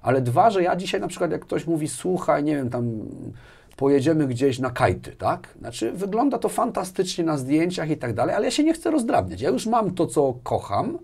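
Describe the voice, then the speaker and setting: A man's voice: a little high, big pitch swings, moderate pace, creaky, a little mellow, husky. Man in his forties, casual and emphatic. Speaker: interview guest, business executive. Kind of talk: casual interview conversation. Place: small indoor studio with armchairs and bookshelves. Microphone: lapel mic.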